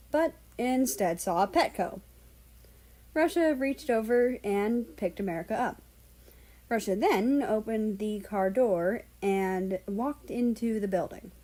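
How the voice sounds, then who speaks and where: A woman's voice: medium pitch, darkish tone. A woman narrating a fan-written story aloud as its reader.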